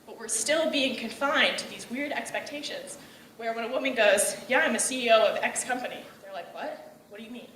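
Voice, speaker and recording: Young woman giving a loud, outgoing talk. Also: fairly high pitch, a little fast, recorded from a distance, a little hiss or hum. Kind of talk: personal speech on gender roles. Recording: echoey room, voice from afar.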